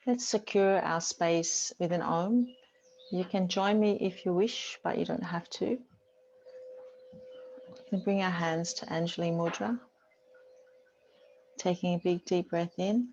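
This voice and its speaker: Mellow, soothing woman guiding relaxation. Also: on the low side. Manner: soothing, unhurried instruction with long pauses. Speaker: yoga teacher leading a class. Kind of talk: guided relaxation meditation. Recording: dull muffled audio, faint background noise.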